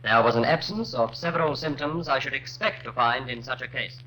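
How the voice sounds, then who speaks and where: An actor playing an assured Victorian professor of anatomy giving testimony in a radio drama, formal and precise. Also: male voice, medium pitch, a little fast, sharp tone, natural voice, rough recording. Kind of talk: 1950s radio crime drama. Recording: old radio recording with faint hum.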